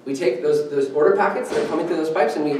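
A young man giving an energetic, conversational presentation at a meetup, quizzing the audience as he goes. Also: a little high, quick pace, a little hiss or hum. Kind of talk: conference presentation. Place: meeting room with a screen and podium. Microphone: far camera, no mic. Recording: echoey room from far off.

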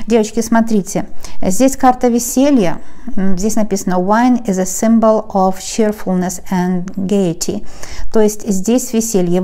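Woman, tarot reader, chatty explaining, mellow voice, russian accent on english words. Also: mid-range voice. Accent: Russian, when reading English. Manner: chatty, explains cards while handling them. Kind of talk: casual tarot reading to viewers. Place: at a wooden table, overhead camera on the cards.

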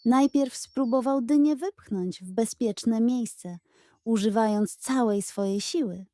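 A woman narrates a bedtime story gently and expressively, at a slow, unhurried pace. Her voice is fairly high yet mellow in tone. The recording is dry and close, with no room sound. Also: no echo.

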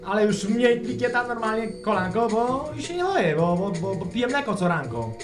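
A man's voice: sits high, sing-song, moderate pace, music in the background. A man playing an anxious, eccentric, rambling radio caller in a comic radio play, hesitant and stammering, with folksy colloquial speech. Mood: excited, folksy.

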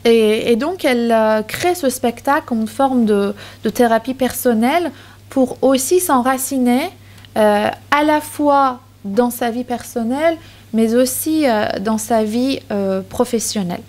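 A woman in her thirties presents at a university panel, her voice sitting a little high. She speaks haltingly, with many fillers and restarts. She talks into a microphone on the table in a lecture hall. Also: darkish tone. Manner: hesitant, frequent fillers and restarts. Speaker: conference speaker. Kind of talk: academic talk with many hesitations. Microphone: table microphone on stand.

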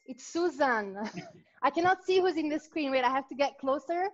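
A woman's voice: sits high, lively intonation, normal speed. A woman hosting an online cooking class from her kitchen, laughing often and restarting her sentences. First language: Italian. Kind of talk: video call group chat. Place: home kitchen. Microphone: video call laptop mic. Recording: dull lo-fi video call audio.

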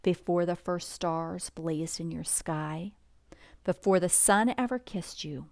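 Slow-reading woman, soft bedtime storyteller. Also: on the low side, creaky, no room sound. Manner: gentle, unhurried, expressive storybook delivery with soft drops. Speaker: storyteller reading a picture book aloud. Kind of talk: bedtime story read-aloud.

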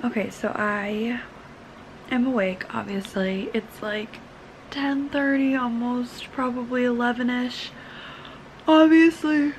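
A young woman vlogging casually from her bed with a croaky morning voice and a relaxed, chatty manner full of fillers, filmed on a camera held at arm's length. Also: fairly high pitch, a little hiss or hum, low-quality recording. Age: young adult. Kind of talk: casual vlog chat. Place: bedroom, in bed. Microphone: handheld camera at arm's length.

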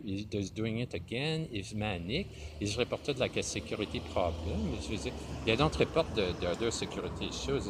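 A man in his fifties with a Quebec French accent rambles on in a self-mocking way, his fairly low voice swinging widely up and down. He talks into a phone held at arm's length outdoors, with clear street noise and passing cars behind him. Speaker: vlogger. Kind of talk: improvised comedy vlog, talking to an imagined partner. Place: outdoors by a stone wall under trees.

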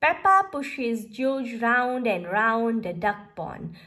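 A woman reading a children's storybook aloud in a deliberate, expressive way, phrase by phrase. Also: a little high. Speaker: storybook reader.

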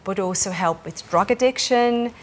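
A woman in her thirties from Switzerland, speaking with a Swiss German accent, answering interview questions as a parliamentary candidate in an animated way, recorded in a small studio. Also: mid-range voice, normal speed, a little hiss or hum. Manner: animated, gestures while talking, many fillers. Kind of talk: political interview.

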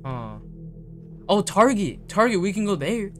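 A man improvising informal roleplay chat in a video game, reacting in an animated way. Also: high voice, a little slow, faint music behind. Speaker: roleplay video host and player.

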